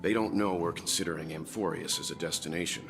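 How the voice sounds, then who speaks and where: A grave, measured companion speaks in a very deep, low voice, as a man puts him on over his game. Each line comes out serious and unhurried. Loud game music plays behind him. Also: fairly even intonation, creaky.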